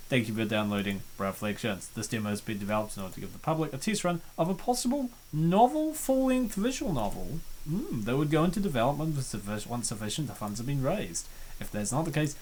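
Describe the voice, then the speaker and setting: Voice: medium pitch, very animated, fairly quick, a little mellow, a little hiss or hum, rough recording. A let's-play host giving casual, chatty commentary and reading the on-screen game text aloud.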